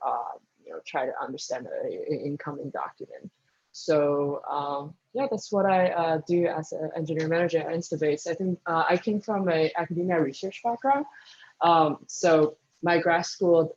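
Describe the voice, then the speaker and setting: A speaker from Taiwan, answering interview questions thoughtfully, often pausing with ums and restarting sentences. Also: medium pitch, a little mellow, dull audio. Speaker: guest speaker, engineering manager.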